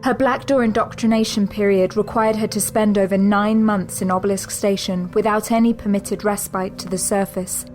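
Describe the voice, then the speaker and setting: A woman giving measured, scripted narration of a spy thriller. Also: medium pitch, soft background music. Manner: measured, even storytelling.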